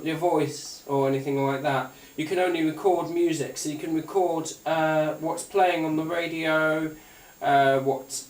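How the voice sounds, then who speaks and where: Roomy, distant man, rambling and hesitant. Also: on the high side. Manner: rambling, hesitant, repeats phrases. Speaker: gadget review YouTuber. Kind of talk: casual unscripted product demonstration. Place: indoors at a tabletop, away from the mic. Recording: roomy, distant mic, faint hiss and clicks.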